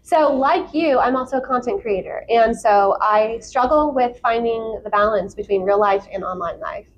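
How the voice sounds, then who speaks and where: A woman's voice: a little high, normal speed, highs cut off, slight background noise. A woman chatting casually and enthusiastically as an interview guest, in an informal way. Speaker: podcast guest, content creator.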